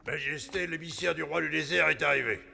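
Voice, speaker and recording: An actor playing a formal, deferential animal herald at a royal court in a French cartoon, ceremonious and gravelly. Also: male voice, on the high side, fairly quick, bright, forceful tone, faint music behind, heavily acted.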